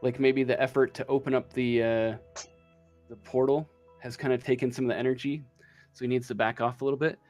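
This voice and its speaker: A man improvising narration as game master of a tabletop roleplaying game, often pausing mid-sentence as he goes. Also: mid-range voice, level tone, darkish tone, soft background music.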